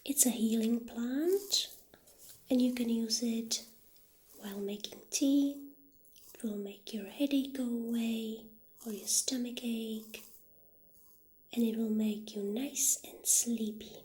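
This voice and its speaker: A woman speaking in a gentle, hushed ASMR roleplay style, partly whispering, as an obliging witch shopkeeper addressing a customer. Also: a little high, slow, pauses often. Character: soft-spoken witch shopkeeper, calm and obliging.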